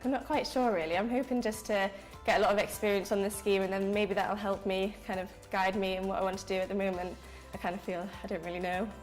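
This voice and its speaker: Fast-talking young woman from North Yorkshire. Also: medium pitch, steady tone. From North Yorkshire, England. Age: young adult. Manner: chatty, with frequent fillers. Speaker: graduate management trainee. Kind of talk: casual interview answers. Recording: roomy sound with music behind.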